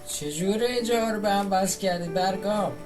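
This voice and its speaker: A woman's voice: mid-range voice, rapid-fire. A woman giving casual commentary as she plays and streams a game. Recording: voice under very loud game music.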